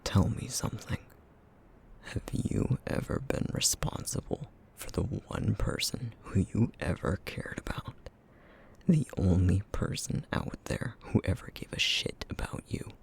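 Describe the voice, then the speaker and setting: A man acts out a brooding creature who feeds on essence, haunted by guilt and sharp with bitter sarcasm. His low voice sinks into a gravelly near-whisper and moves slowly, in the intimate style of an ASMR roleplay drama. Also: animated. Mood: anguished, accusing. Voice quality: breathy, half-whispered, gravelly.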